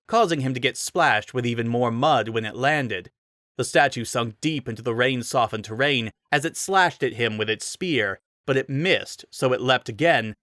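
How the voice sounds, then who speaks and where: A man's voice: mid-range voice, a bit bright, dry recording, clean studio sound. A man giving a dramatic reading of a fantasy story as narrator.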